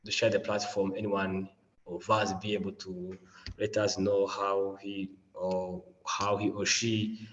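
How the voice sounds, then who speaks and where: Low-voiced man teaching with a mild flu. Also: level tone, unhurried, fairly bright tone, big-room sound, muffled recording, a little hiss or hum. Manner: upbeat, repeats phrases, many fillers. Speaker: mentor presenting a learning module. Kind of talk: online teaching session. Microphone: video call.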